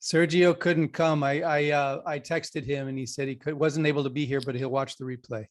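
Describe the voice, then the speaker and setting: A man hosting an interview over a video call, friendly and organized as he guides things along. His voice sits a little high for a man. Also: steady tone, a little fast. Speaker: interview host.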